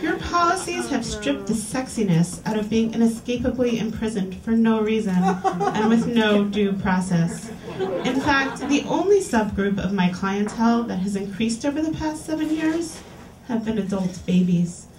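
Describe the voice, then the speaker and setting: A woman's voice: fairly high pitch, expressive, a crowd in the background. A woman hosting a reading series, reading an erotica piece aloud to a live audience in a small lounge. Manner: clear, deliberate reading-aloud delivery. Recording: roomy, distant live capture.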